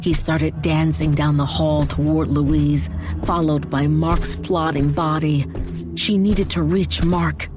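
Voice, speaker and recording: A woman's voice: deep voice, fairly even intonation, slow. A woman giving scripted, dramatic narration for a horror audiobook. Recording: music behind the voice.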